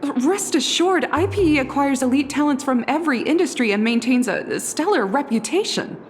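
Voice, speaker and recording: A polished corporate business head. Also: female voice, high voice, animated, normal speed, slight background noise. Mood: polite, salesy. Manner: polite corporate tone, stammers when rattled. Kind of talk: game cutscene voice acting. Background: game music.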